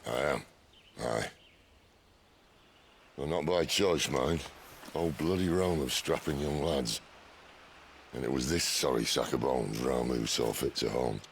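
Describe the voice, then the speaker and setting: An actor playing a weary, gruff middle-aged rebel leader in a video game, speaking in a dry, wry and earnest way. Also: male voice, a bass voice, a little slow, long pauses, a little hiss or hum. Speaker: game character.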